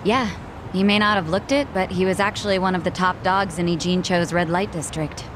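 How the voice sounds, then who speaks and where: Actress playing a blunt, low-voiced young woman. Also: a little fast, background noise, close to an everyday voice. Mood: frank. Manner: brusque, sarcastic. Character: blunt, prickly young female cabaret bartender. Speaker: voice actor in a game. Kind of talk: English video game cutscene dub. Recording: music behind the voice.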